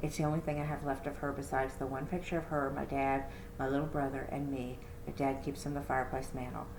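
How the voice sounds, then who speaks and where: A woman reading a children's novel aloud in an expressive first-person storytelling style, like a librarian. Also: rumbling low, fast talker. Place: indoor room, roomy sound. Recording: echoey room with faint noise.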